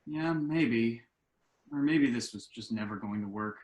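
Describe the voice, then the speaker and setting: An actor playing a dry, wry and somewhat discouraged adult son and husband in a table read. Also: male voice, medium pitch, a little slow, a little mellow, close to an everyday voice. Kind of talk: stage comedy-drama table read. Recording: echoey room on online call.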